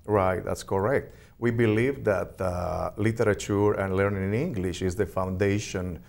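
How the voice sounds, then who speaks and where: A man from Peru with a Peruvian Spanish accent, giving halting, earnest answers as an interview guest. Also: lowish voice, steady tone, gravelly, darkish tone. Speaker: interview guest, ESOL program representative.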